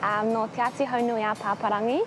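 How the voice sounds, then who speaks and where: A woman with a Māori New Zealand English accent gives a formal self-introduction, her voice fairly high. Soft fillers break up the recital, and clear music plays underneath. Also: fairly even intonation, fast talker. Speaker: contestant introducing herself.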